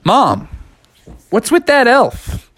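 A woman voicing an excitable little boy frog who talks in an earnest, insistent way. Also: on the high side, extremely slow, pitched above the performer's own voice, heavily acted. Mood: flustered.

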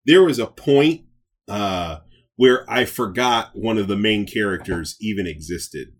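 A man's voice: lowish voice, expressive, unhurried. A man speaking as a podcast co-host in a casual review chat, conversational and blunt about his opinions.

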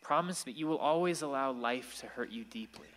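An actor playing a gentle, earnest, watchful grandson in a radio play. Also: male voice, mid-range voice, lively intonation, fairly quick, lightly acted. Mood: gentle, searching. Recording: long hall-like reverb.

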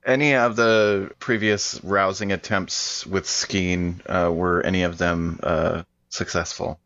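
A man's voice: sits low, flat delivery, takes it slowly, a bit bright. A man taking part in a tabletop game as a player, talking casually and a little hesitantly on a slightly dull microphone. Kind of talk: casual in-game talk with the game master.

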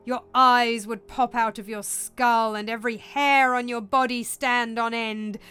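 Woman as vengeful dead king's ghost, storybook tale, drawn-out solemn intoning, anguished, clean smooth tone, faint music. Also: fairly high pitch, fairly even intonation, bright, forceful tone, pitched above the performer's own voice. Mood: grave, foreboding.

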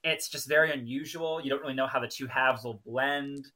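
Guy cohosting a podcast, bright clear-cut voice, chatty recap w/ wry asides. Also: mid-range voice, fairly even intonation, brisk. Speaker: podcast co-host. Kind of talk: casual chat and episode recap.

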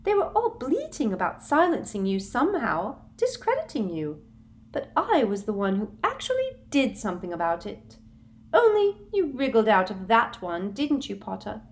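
A woman reading an audiobook, voicing a cruel, simpering middle-aged witch official in a high, breathy, sugary-menacing voice. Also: huge pitch swings, normal speed. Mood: gloating.

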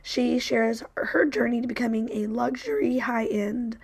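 A woman podcast host who is losing her voice, speaking raspy and hoarse in a casual introduction, laughing lightly at it. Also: fairly high pitch, a little slow.

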